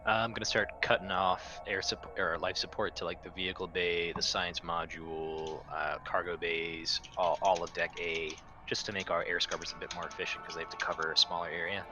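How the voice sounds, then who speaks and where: A man's voice: low-pitched, flat delivery, a little fast, hardly any pauses. A man playing in a tabletop roleplaying game, talking casually with some swearing. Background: game soundtrack music.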